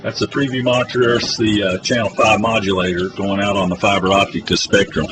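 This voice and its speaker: A man's voice: on the low side, little pitch movement, a little fast. Rambling man with a noisy phone mic. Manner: rambling, hesitant with many fillers. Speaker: public access TV host. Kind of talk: rambling live phone-in broadcast. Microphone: phone camera.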